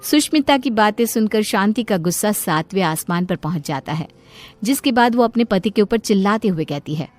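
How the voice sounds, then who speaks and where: A woman's voice: mid-range voice, expressive, moderate pace, darkish tone. Woman narrating a story over music.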